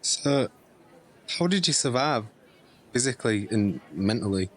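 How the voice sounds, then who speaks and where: A male actor plays a young man, the nephew in an audio drama, with a mid-pitched voice. He sounds curious and sympathetic, asking questions with gentle concern. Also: expressive, measured pace, natural voice. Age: young adult. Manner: inquisitive, sympathetic. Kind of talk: scripted audio drama dialogue.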